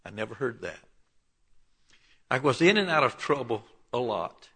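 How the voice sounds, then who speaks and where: Man, 70s, southern alabama accent, church sermon, folksy storytelling, husky, bright tone. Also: medium pitch, sing-song, normal speed. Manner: folksy storytelling with asides and clearing his throat. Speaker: preacher. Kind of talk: sermon with personal testimony.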